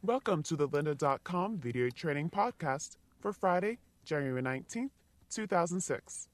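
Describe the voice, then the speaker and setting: Woman announcer digitally pitched down, dry. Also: lively intonation, normal speed, no echo. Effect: pitch shifted down, unnaturally deep. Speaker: podcast announcer. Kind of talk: scripted podcast introduction.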